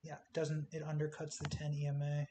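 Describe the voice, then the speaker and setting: A man with a fairly high voice talks a trading chart through in short, offhand calls and scattered fillers. His voice is wearing thin as he goes. A faint hiss sits under the recording. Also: monotone, fairly quick, warm tone. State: losing his voice. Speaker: stock trader walking through charts. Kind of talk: casual screen-share chart commentary.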